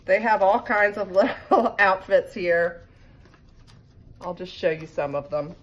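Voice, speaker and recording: A woman's voice: mid-range voice, measured pace. A middle-aged woman reading a children's picture book aloud with casual asides. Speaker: read-aloud reader for children. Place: living room by a fireplace. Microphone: phone propped in front of her. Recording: home phone recording with background noise.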